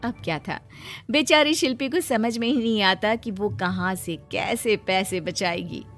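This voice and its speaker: A woman's voice: medium pitch, measured pace, fairly bright tone, soft background music. A woman reading scripted narration as the storyteller of a moral tale.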